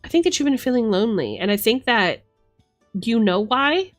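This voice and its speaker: A woman's voice: a little high, very animated, moderate pace. A woman giving a casual, chatty tarot reading on a live stream, musing aloud in informal language. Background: background music.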